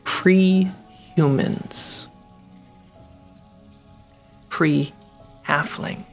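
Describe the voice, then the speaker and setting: A game master narrating a tabletop fantasy game with deliberate dramatic pauses. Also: medium pitch, steady tone, vocal fry. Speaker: game master and show host. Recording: background music and rain sounds under voice.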